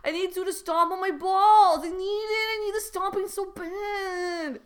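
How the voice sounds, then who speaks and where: Woman doing whiny football player, high pitch, tearful, begging, comic story character. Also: fairly even intonation, unhurried. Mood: pleading, tearful. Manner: whining, begging.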